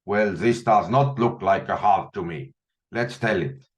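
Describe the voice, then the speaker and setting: A man's voice: low voice, a little slow. A man in his forties with an Estonian accent, teaching a live online coding session and thinking aloud, often restarting and repeating words. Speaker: instructor. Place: small room at home, indoors.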